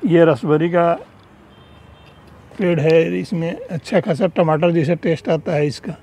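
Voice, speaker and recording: A man's voice: a little high, measured pace, a little mellow, husky. A man giving a chatty, casual tour of his rooftop terrace garden, filmed on a handheld phone outdoors. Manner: chatty, pointing things out. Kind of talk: casual walkthrough narration. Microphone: handheld phone camera.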